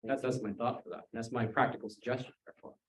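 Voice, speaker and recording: A man's voice: mid-range voice, fast talker, distant mic, slight background noise. Emphatic man from Taiwan, echoey room. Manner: emphatic, direct, sprinkles in "you know" and "right". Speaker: pastor on a panel. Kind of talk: panel discussion.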